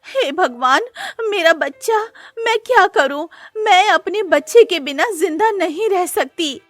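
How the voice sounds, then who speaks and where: A woman puts on a distraught storybook queen, high and pleading. She sounds tearful and grief-stricken, with music playing softly behind her. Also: expressive, a little slow, no echo. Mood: wailing, desperate. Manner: pleading, wailing. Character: distraught, tearful adult queen mourning her lost son. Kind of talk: cartoon moral-story acting.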